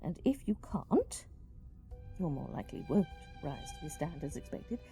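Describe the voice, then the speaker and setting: A woman's voice: low-pitched, very animated, normal speed, creaky, dark tone, faint music behind, full character voice. A woman playing a stern, haughty senior company employee in a radio play, formal and scolding. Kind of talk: radio play monologue acting.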